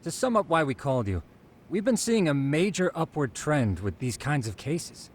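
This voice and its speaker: A man's voice: fairly high pitch, very animated, moderate pace. An actor voicing a nerdy, earnest male detective in a video game, explaining things in a precise, didactic way. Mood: earnest, lecturing. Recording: background music under the dialogue.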